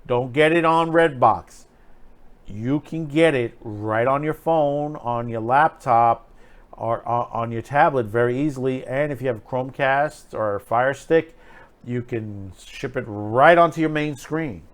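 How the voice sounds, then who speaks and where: Man giving rambling, emphatic commentary. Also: mid-range voice. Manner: rambling, halting, emphatic, repeating phrases. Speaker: host of an online film commentary show. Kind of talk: unscripted opinionated commentary.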